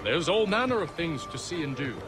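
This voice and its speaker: An actor playing an eager, deferential young gentleman aide in a colonial-era video game, speaking formally and flatteringly. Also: fairly high pitch, brisk, background noise. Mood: eager, respectful. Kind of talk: video game cutscene acting.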